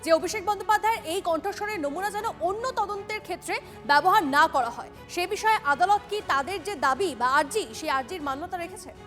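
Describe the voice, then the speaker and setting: West bengal bengali lady anchoring breaking news, super high voice, rapid and insistent, music playing behind her. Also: fairly bright tone. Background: news music bed.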